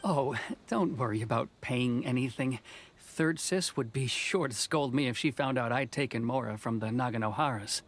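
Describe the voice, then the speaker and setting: A man's voice: mid-range voice, normal speed, a little hiss or hum, close to an everyday voice. A man voicing a modest, easygoing male boatbuilder in a video game. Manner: humble, easygoing, nostalgic. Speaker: game character. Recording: game audio with music behind.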